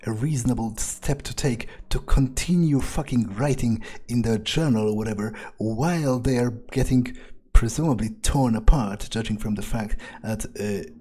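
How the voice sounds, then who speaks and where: A man narrating a video game playthrough in character, thinking aloud in a musing, halting way. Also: mid-range voice, mellow, slightly rough.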